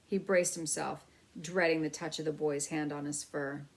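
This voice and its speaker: A woman reading a children's book aloud as an expressive storyteller. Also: low-pitched, normal speed, rough recording.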